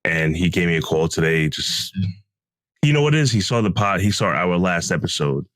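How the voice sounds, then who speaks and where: A man co-hosting a podcast, telling stories in a relaxed, deep, calm voice with lots of fillers, recorded at home. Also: moderate pace, no echo. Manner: relaxed storytelling with many fillers. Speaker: podcast co-host. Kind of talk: casual podcast chat. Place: home closet room.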